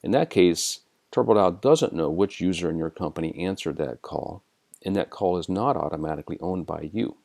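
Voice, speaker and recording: An American man narrating an instructional software screencast in a methodical, step-by-step way. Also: sits low, normal speed, creaky. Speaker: software tutorial presenter.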